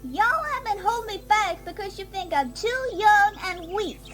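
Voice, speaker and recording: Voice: extremely high pitch, lively intonation, normal speed, a bit bright. A performer voicing a stubborn, angry teenage pony filly with indignant, folksy country phrasing in a dramatic audio story. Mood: pleading, insistent. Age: young teen character. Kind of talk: dramatic fan fiction audio reading. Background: music bed.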